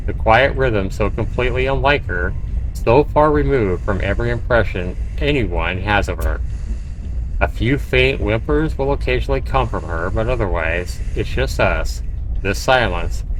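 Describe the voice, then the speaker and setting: A man's voice: on the low side, rough recording. A man livestreaming a game, switching between reading narration aloud and commenting on it. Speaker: game streamer. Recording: faint noise behind voice.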